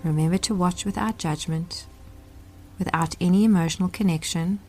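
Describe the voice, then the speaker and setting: A woman leads a guided meditation in a fairly low voice. Her phrasing is gentle and deliberate, with measured pauses between instructions. Faint music and rain sounds sit behind her. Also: steady tone.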